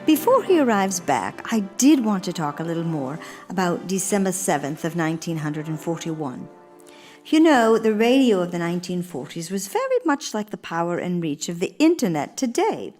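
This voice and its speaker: A woman's voice: lowish voice, big pitch swings, normal speed, darkish tone, faint music behind. An actress playing an earnest, gracious elder First Lady in a radio play, speaking formally and with theatrical emphasis. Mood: reminiscing. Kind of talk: radio theater monologue and dialogue.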